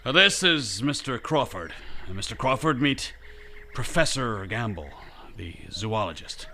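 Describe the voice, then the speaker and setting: A man playing a gruff, brusque ranch boss in an audio drama set in the 1930s. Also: medium pitch, animated, unhurried, pushed and bright, slight background noise. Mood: put out. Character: gruff ageing American ranch-owning boss.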